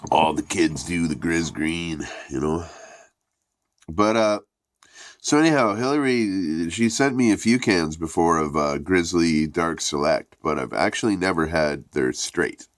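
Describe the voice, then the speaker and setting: A man's voice: low-pitched, expressive, a little slow, no room sound, studio quality. A man with a stuffy, congested voice giving a profane, rambling, laid-back casual review. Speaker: product reviewer.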